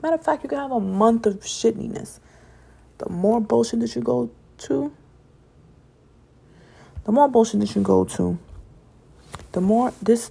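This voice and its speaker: A young woman hosting a solo podcast late at night, chatting casually and rambling about staying positive, her voice getting raspy. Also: mid-range voice, warm tone. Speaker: podcast host.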